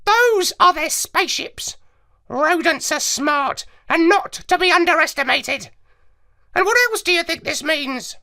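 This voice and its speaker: A woman voicing a brainy, broody male parrot astronaut, rasping and lecturing in a children's story. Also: extremely high pitch, animated, a little slow, bright, forceful tone, dry recording, studio quality, pitched above the performer's own voice, heavily acted. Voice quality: rasping, squawky. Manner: lecturing, conspiratorial.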